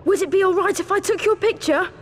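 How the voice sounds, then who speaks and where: A woman with a British accent voicing an eager, excitable young schoolboy in a video game. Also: very high voice, quick pace. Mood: awed, eager. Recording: game audio with long reverb.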